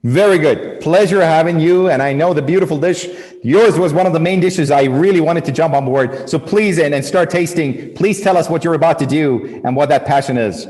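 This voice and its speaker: A man hosts a live cooking competition, bringing each contestant on with upbeat, emphatic introductions. His voice sits a little high for a man. A long reverb trails behind him, as if he is speaking in a large hall. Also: brisk, few pauses, a little mellow. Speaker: host.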